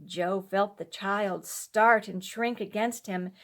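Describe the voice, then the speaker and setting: A woman storyteller giving a measured, expressive scripted narration of a classic tale. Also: mid-range voice.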